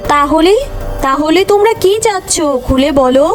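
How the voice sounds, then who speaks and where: Woman playing magical cartoon cow, generous, gentle, pleading, high-pitched, soft background music. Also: lively intonation, moderate pace. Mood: obliging. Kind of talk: cartoon story dialogue.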